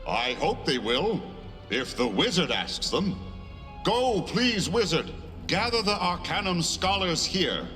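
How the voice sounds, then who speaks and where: A man playing a calm, solemn otherworldly being in a video game cutscene, speaking with great deliberation. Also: sits high, takes it slowly, full character voice. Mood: calm, measured. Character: calm, otherworldly being embodying a whole world. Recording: long reverb, music behind.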